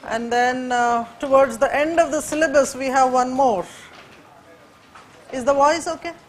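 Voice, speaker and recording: A woman's voice: sits high, measured pace, slight background noise. A woman from India, whose first language is Urdu, gives a classroom lecture in Indian English, hesitant and full of fillers. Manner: hesitant, many fillers and restarts. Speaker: teacher.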